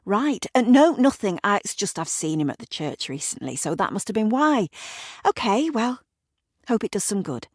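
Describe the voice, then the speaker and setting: A woman voicing a brisk, guarded woman who hurries past a neighbour with evasive replies. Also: medium pitch, very animated, darkish tone, no room sound, clean studio sound, voice pushed up.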